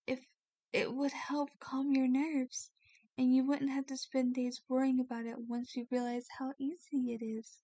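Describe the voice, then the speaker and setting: A woman playing a kindly, doting vampire lady in an ASMR roleplay, speaking gently with a genteel, coaxing manner. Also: high-pitched, level tone, moderate pace, no room sound, natural voice. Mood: reassuring, coaxing. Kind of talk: ASMR roleplay, soft scripted acting.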